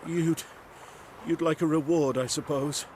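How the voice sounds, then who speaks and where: An actor playing a nervous, hard-up traveling merchant in a video game, speaking hesitantly and apologetically. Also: male voice, on the high side, steady tone, measured pace, a little mellow, slight background noise, close to an everyday voice. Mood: uneasy. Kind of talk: video game cutscene acting.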